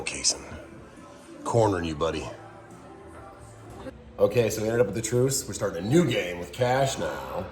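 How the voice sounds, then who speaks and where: A man in his thirties with a groggy voice, talking casually through a trucking vlog in a loose, rambling way, with a lot of fillers. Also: sits low. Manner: rambling, relaxed talk with fillers. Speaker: owner-operator truck driver vlogger. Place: home kitchen and car.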